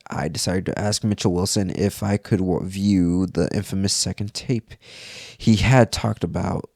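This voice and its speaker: A man's voice: low voice, darkish tone, no echo, studio quality. A man narrating a scripted first-person horror story as if it were his own account.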